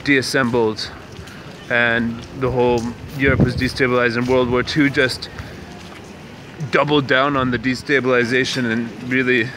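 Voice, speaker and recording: A man's voice: medium pitch, little pitch movement, moderate pace. A young man from Canada hosting a casual walking vlog, musing aloud with many fillers and restarts. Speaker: vlog host. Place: outdoors on city streets. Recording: outdoor street noise.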